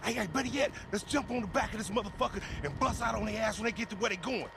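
A man playing a brash, boastful, foul-mouthed young American gangster stoner in a video game cutscene, with loud slangy swagger. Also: sits high, fast talker, vocal fry, background noise. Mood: scheming. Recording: music behind the voice.

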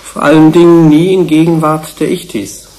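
A conscientious researcher, acted by a man with a slightly high-pitched voice. He is earnest, reflective and a little sentimental, feeling for his words in a radio play. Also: level tone, moderate pace, darkish tone, lightly acted, poor audio. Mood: wistful. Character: conscientious, sentimental male research team member. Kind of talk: German radio play acting.

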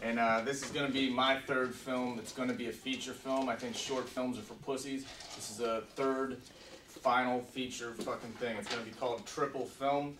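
A man's voice: medium pitch, steady tone, normal speed. Man in a roomy, distant meeting-room recording. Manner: informal, profane. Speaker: film writer-director leading a table read. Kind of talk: casual introduction, then reading script directions aloud. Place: meeting room with a conference table. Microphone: distant camera microphone. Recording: roomy, distant camera mic, background noise.

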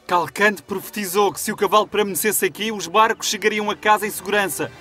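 A young captive with an extremely high voice and a European Portuguese accent. He is a cunning cartoon deceiver who speaks with dramatic earnestness, music playing underneath. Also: steady tone, fast, pushed and bright. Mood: earnest, scheming. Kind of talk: dubbed cartoon acting. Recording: music behind the voice.

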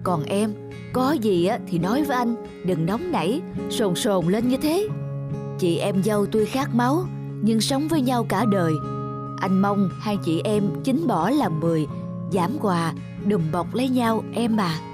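A kindly middle-aged family peacemaker in a cartoon. He talks calmly and gently, in the measured way of an advising elder brother, with music clearly behind him. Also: high voice. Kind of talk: animated moral tale dialogue.

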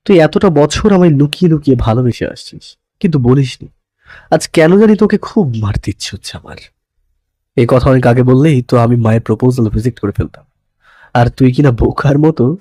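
A man's voice: medium pitch, expressive, fairly quick, dark tone, dry recording, lightly acted. A man playing an intense, possessive young lover in a romantic audio story, pleading dramatically and mixing English words into his speech. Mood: reproachful, earnest.

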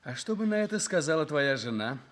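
An actor playing an earnest, lovestruck, proper journalist in a Soviet radio play. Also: male voice, on the high side, sing-song, fairly quick, fairly bright tone. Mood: reproachful. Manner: earnest, reproachful.

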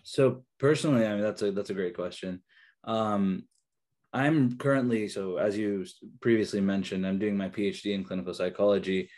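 A young man whose first language is Kannada speaks on a video call in a fairly low voice. He talks haltingly, with many ums and restarts, as an interview guest. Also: fairly even intonation, a little mellow. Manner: hesitant, many fillers and restarts. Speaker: interview guest, researcher and therapist.

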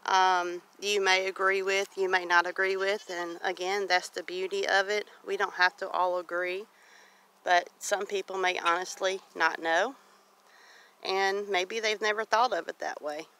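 A woman's voice: mid-range voice, level tone, measured pace, bright, forceful tone. A middle-aged woman talking casually in a plain-spoken, folksy way, repeating phrases for emphasis as she walks and talks. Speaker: homestead vlogger. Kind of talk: casual walk-and-talk monologue. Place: outdoors on a grassy homestead field. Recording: noisy outdoor recording with geese honking.

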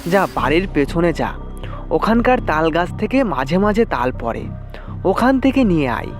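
A protective village mother as a man narrates her in an audio horror story. The voice is high, cautioning and coaxing. Music runs underneath. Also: sing-song, moderate pace.

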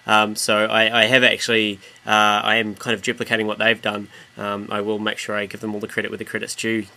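A man with a New Zealand accent explaining software step by step in an informal, slangy way as a tutorial presenter. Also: on the low side, brisk. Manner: relaxed, casual slangy explaining. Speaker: tutorial presenter and music producer.

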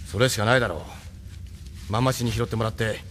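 Man acting a pragmatic leader, fast talker, mid pitch, blunt, firm, audio drama, faint background noise. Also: fairly even intonation, fairly bright tone, lightly acted.

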